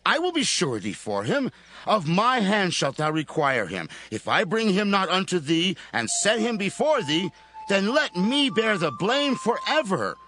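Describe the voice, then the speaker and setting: An actor playing an earnest grown son in a Bible audio drama, speaking in a solemn, emphatic, declamatory way. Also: male voice, sits high, sing-song, a little slow, bright, forceful tone, exaggerated voice. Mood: earnest pleading. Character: earnest adult son pleading with his aged father.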